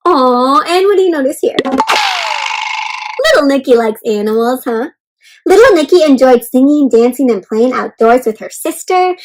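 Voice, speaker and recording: Female children's read-aloud host. Notably high voice, expressive and encouraging, engages young listeners with questions. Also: low-quality recording. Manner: expressive, encouraging teacher-like delivery.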